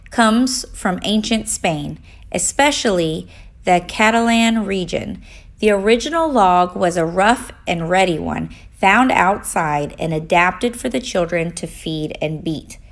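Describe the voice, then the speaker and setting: Slow-paced woman reading a children's story. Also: lowish voice. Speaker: storybook reader for young children. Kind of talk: read-aloud children's story narration.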